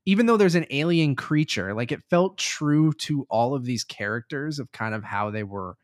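A man's voice: medium pitch, animated, moderate pace, dry recording, studio quality. Casual, sweary man chatting about films. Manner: rambling, sweary, enthusiastic. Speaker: podcast co-host reviewing films. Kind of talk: casual film review chat.

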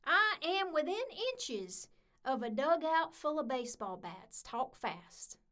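A woman's voice: high-pitched, very animated, slow pace, a bit bright, heavily acted. A woman playing a hot-headed, vengeful young woman in an audio drama. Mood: menacing.

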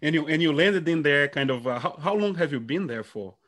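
A man hosting a podcast interview who asks questions warmly and repeats words as he restarts his sentences. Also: a little high, expressive, fairly quick. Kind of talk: conversational interview.

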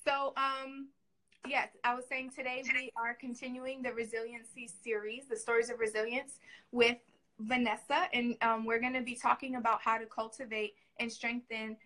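A woman's voice: fairly high pitch, level tone, normal speed, sharp tone, rough recording. A woman hosting a casual livestream interview. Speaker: host.

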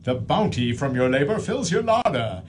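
A man's voice: mid-range voice, sing-song, normal speed, a little mellow, a little hiss or hum, natural voice. A man playing a hearty, genial old farmer. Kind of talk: community radio play acting.

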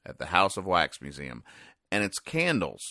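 A man with a deep voice and a bright, forward edge hosts a casual recap show. He rambles and halts in a deadpan way. The sound is close and dry, with hardly any room around it. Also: normal speed, no room sound. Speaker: podcast host. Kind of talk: casual comedic recap of newspaper comics.

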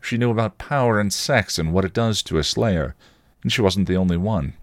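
A man's voice: on the low side, a little fast, dry recording, studio quality, pitched above the performer's own voice, lightly acted. A man voicing a cocky male vampire, taunting and insistent, in a story reading. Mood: goading. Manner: provocative, insistent, goading.

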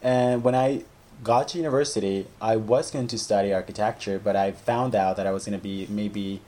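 A man's voice: fairly low pitch, fairly even intonation, fairly quick, warm tone. Hesitant man, lots of "right?". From El Salvador. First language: Spanish. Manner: hesitant, restarts often, leans on "like" and "right?". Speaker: guest, English teacher. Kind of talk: casual interview chat.

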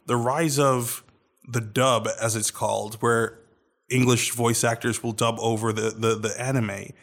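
A man's voice: medium pitch, steady tone, a little slow, fairly bright tone. A man with an American accent, a guest chatting casually on a podcast and rambling through restarts. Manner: rambling, with many restarts and fillers. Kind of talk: casual chat.

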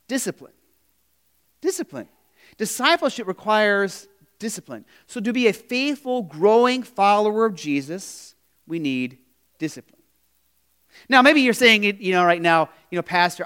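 High-voiced man preaching conversationally. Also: lively intonation, normal speed, long pauses, a bit bright, clear, resonant tone. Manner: conversational preaching with frequent "you know" and restarts; rhetorical questions to the congregation. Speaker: pastor. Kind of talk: sermon.

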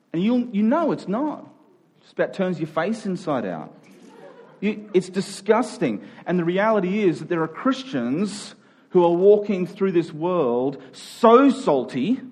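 This is an Australian man preaching live to a congregation. His voice sits a little higher than most men's. He keeps the sermon conversational and informal, putting rhetorical questions to his listeners and joking with them. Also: darkish tone, husky.

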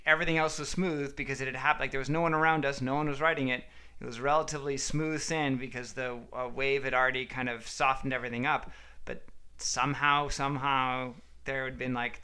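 Guy podcast cohost, crisp bright voice w some fry, rambles n searches for words. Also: mid-range voice. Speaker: podcast co-host. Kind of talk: casual chat, storytelling.

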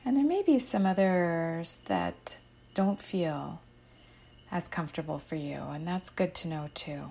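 Slow, low-voiced woman guiding a meditation. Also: very animated. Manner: soothing, unhurried, gently instructive with soft phrase endings. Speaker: meditation guide. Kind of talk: guided meditation narration.

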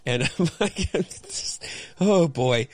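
A man hosting a podcast, giving casual, halting, self-deprecating commentary and narration on a comic. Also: fairly high pitch, lively intonation, darkish tone. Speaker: podcast host.

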